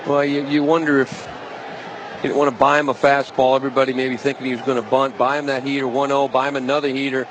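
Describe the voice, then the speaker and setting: A man's voice: medium pitch, level tone, quick pace. A man giving conversational baseball color commentary on a live broadcast, colloquial and fond of posing questions. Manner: colloquial, poses questions, talks directly to listener. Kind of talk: conversational live sports analysis. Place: ballpark broadcast booth. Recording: noisy live stadium broadcast. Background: clear stadium ambience.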